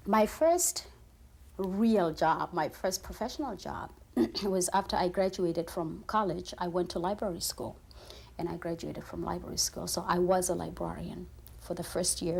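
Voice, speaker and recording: Gravelly low woman. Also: moderate pace, darkish tone. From South Africa. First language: Sotho. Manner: articulate, thoughtful with fillers. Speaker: librarian, interview guest.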